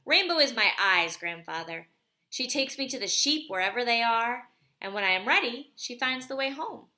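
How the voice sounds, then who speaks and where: Woman reading as an eager, bright-voiced young boy. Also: on the high side, lively intonation, normal speed, reverberant. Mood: excited. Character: eager young blind boy, proud and earnest. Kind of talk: storybook read aloud.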